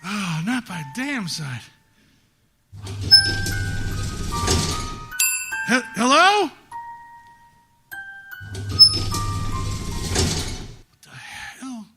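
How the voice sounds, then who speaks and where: A man's voice: very high voice, big pitch swings, slow, heavily acted. An actor playing a rattled, easily indignant folksy delivery man in a live audio drama, stammering and drawling out slang. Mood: frightened, confused. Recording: live stage recording with music behind.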